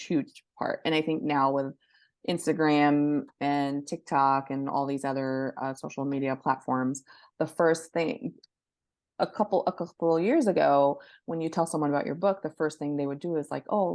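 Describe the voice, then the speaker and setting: A woman's voice: deep voice, darkish tone, no room sound. A woman answering interview questions casually as a podcast guest, sharing advice and anecdotes and repeating words for emphasis. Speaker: podcast guest, book marketing professional.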